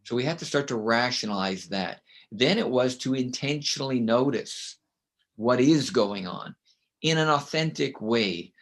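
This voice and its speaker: A middle-aged man from Canada with a loud voice, speaking as a guest in an interview. He is emphatic and tells stories, and he often ends his points with a quick "right?". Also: mid-range voice, lively intonation. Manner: emphatic, storytelling, with frequent "right?" tags. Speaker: guest author and consultant. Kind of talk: conversational interview.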